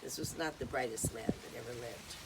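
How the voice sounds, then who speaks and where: A woman's voice: a bass voice, fairly quick, heavy background noise. A woman hosting an informal talk on music history, rambling and chatty with many ums and uhs. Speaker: host.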